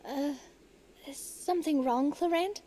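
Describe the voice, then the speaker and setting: High-pitched, eager young adventurer. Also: female voice, a little slow, dark tone, a little hiss or hum. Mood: concerned. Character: bright, curious, eager young woman adventurer. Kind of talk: anime-style video game voice acting.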